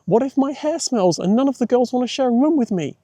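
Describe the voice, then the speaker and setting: Guy doing a nervy excitable little girl for a kids audiobook, voice way up high, loads of questions. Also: fairly quick, no room sound. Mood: panicked, worried. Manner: eager, questioning, breathless excitement. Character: excitable, anxious eleven-year-old girl full of questions.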